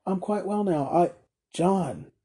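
Guy doing a shaky gentle young wife for a storybook, high voice, soothing and hesitant. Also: slow, slightly rough, full character voice. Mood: shaken, faltering. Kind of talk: storybook character reading.

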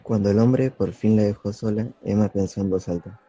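Dark, muffled male radio narrator. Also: on the low side, fairly even intonation, moderate pace. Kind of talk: scripted radio drama narration. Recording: dull sound with guitar music behind.

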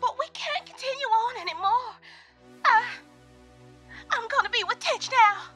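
An actress playing a sappy young sweetheart in a comedic audio drama, tearful, syrupy and overwrought. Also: female voice, extremely high pitch, lively intonation, a little slow, bright, forceful tone, soft background music, exaggerated voice.

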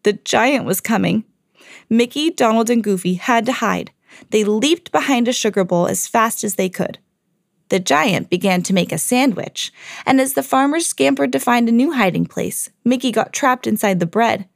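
A woman storyteller hosting a podcast, reading a children's fairy tale expressively with clear enunciation. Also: a little high, normal speed, dry recording, clean studio sound.

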